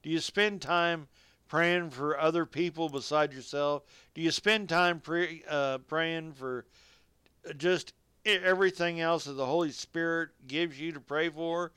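A man delivers a radio sermon at an unhurried pace in a dry, close sound. His voice is very clean and resonant. He reads scripture and exhorts listeners directly, in homespun, colloquial language. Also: on the high side, pushed and bright, clear, resonant tone, no room sound. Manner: folksy, colloquial phrasing. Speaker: radio preacher.

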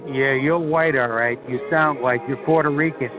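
A man's voice: medium pitch, steady tone, moderate pace. A man arguing heatedly in a voice chat, hurling and repeating the same insults over and over. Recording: tinny phone-like line with music behind.

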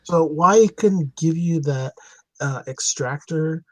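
A man with a husky voice chatting casually as a podcast panelist. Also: a little high, takes it slowly, fairly bright tone, no room sound. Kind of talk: casual chat.

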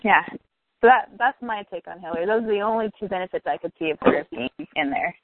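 A woman's voice: medium pitch, lively intonation, fast talker, vocal fry. A woman in her thirties in a casual political chat, blunt and opinionated, swearing freely. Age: 30s. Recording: tinny phone-like line, dry.

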